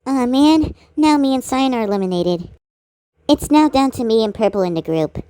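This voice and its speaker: A woman's voice: on the high side, lively intonation, normal speed, warm tone, no echo. A woman doing amateur cartoon voice acting in an exaggerated, theatrical manner.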